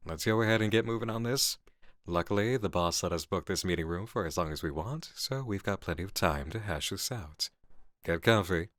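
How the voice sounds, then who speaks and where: An actor playing a composed, cerebral male coworker in an ASMR roleplay drama, speaking persuasively with deliberate pauses. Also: low voice, fairly bright tone, no echo, clean studio sound. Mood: businesslike, relaxed.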